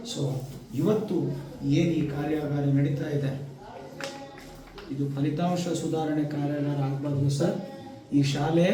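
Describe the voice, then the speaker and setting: A man with a North Karnataka Kannada accent gives an emphatic motivational speech to students in short, deliberate phrases. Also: fairly high pitch. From Karnataka, India. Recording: echoey hall, distant microphone, audience noise.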